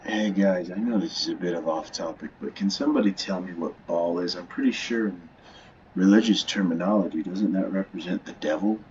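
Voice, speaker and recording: A man's voice: low-pitched, fairly quick, darkish tone, lo-fi sound, a little hiss or hum. A man chatting informally and rambling as he reacts to a video game. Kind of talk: casual chat commentary.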